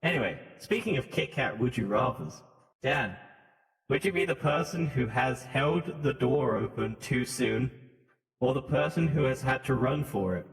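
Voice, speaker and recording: A man's voice: medium pitch, animated, moderate pace. A man with a British accent leaning American, joking and swearing in casual podcast banter through a voice modulator. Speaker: podcast co-host. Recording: echoey, long reverb on voice.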